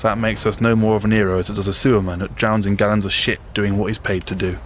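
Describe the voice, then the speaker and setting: An actor playing a blunt, world-weary British army sergeant of the First World War in an audio drama, with a colloquial London accent. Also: male voice, fairly low pitch, flat delivery, quick pace, slight background noise, natural voice. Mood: blunt, cynical.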